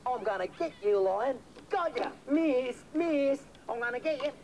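Against clear outdoor noise, an excitable, boastful puppet character for a children's show. The voice swoops about in a lively, teasing, exclamatory way as it chats to the audience. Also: male voice, very high-pitched, a little slow, full character voice. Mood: indignant, teasing.

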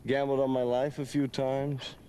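A man's voice: mid-range voice, level tone, moderate pace, dark tone, a little hiss or hum, natural voice. Actor as wistful, romantic young male adventurer. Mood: yearning. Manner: earnest, reflective, theatrical. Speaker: video game voice actor. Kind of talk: dramatic video game cutscene acting.

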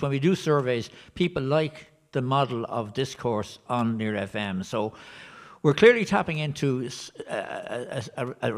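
Hesitant middle-aged man on a panel. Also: mid-range voice. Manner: reflective, hesitant with restarts. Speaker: panel member for community radio. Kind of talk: panel discussion answer. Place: conference function room.

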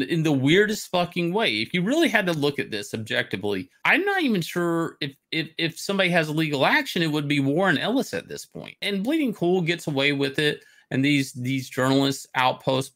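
Male stream host, mid-range voice, off-the-cuff and opinionated, halting but emphatic delivery. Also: mid-range voice, a bit bright. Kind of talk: casual opinionated rant on a livestream. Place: indoor room, livestream on webcam.

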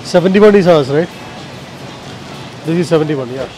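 A man's voice: fairly high pitch, moderate pace, faint music behind, poor audio. A man with a South Indian accent giving casual walk-through commentary in short remarks, often checking with a tag question. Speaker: vlogger shopping with a young companion. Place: busy supermarket seafood and produce aisles. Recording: loud ambient noise throughout.

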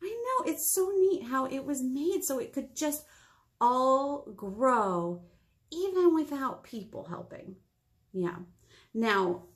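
High-voiced woman talking to young children. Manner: animated, encouraging, asks questions and pauses for answers. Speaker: children's librarian hosting storytime. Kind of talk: children's storytime talk addressed to young viewers. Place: small indoor meeting room.

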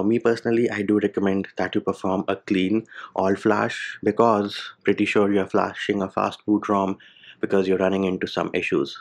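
A man narrating a screen-recorded software tutorial, explaining each step plainly with casual asides. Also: on the low side. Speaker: tech tutorial host. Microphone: voice-over over screen capture.

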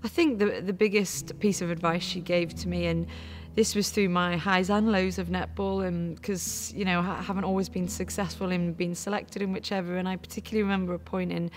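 Thoughtful young woman, low even voice. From Wales. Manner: thoughtful, halting, many fillers. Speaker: netball captain. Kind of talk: reflective interview. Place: studio before a backdrop.

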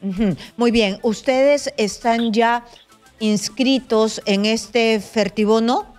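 A middle-aged woman anchoring a news interview from a studio, asking brisk, direct questions. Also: fairly high pitch, a little mellow, faint music behind. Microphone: desk mic.

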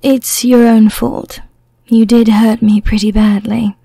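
A woman playing a seductive, commanding vampire in an ASMR roleplay, speaking softly and breathily, often in a whisper, with a coaxing, deliberate, hypnotic manner. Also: a little high, flat delivery, vocal fry, breathy edge, dry recording, heavily acted. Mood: calm, firm.

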